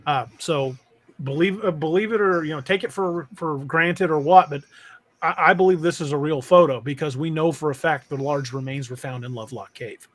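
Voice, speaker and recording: A man's voice: a little high, normal speed. A man hosting a casual livestream talk, chatting and reading out comments from viewers. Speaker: podcast host.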